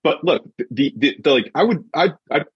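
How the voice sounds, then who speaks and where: A husky-voiced man giving an emphatic, conversational motivational talk as a coach and podcast guest, often checking in with short rhetorical questions. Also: on the high side, lively intonation, normal speed, no echo. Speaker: podcast guest, sports mindset coach and author. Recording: dull, muffled lo-fi audio.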